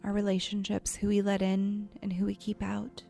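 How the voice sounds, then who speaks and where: A woman leading a guided imagery meditation in a soft, soothing, gentle voice that turns breathy at times, with unhurried pauses. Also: mid-range voice, monotone. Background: soft background music.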